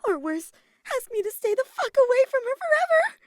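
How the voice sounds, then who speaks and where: A woman's voice: very high-pitched, very animated, a little fast, no echo, clean studio sound, pitched above the performer's own voice. A woman voicing a panicky, lovestruck young actress in a story, with stammering and dramatic outbursts. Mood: panicked.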